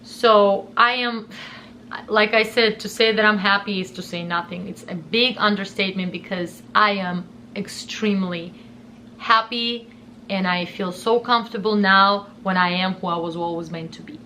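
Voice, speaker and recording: A woman's voice: medium pitch, steady tone, moderate pace, sharp tone, a little hiss or hum, rough recording. A young woman from Russia with a Russian accent, telling a personal story in an earnest, emotional way and often repeating herself. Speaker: vlogger.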